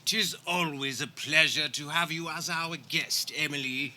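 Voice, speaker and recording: A man's voice: fairly high pitch, measured pace, sharp tone. A man playing a prim, pompous 1920s lord and father in a comic radio play, speaking in stilted formal language. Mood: stiff, reproving. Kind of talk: live comic radio theater acting. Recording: music behind, live backyard show.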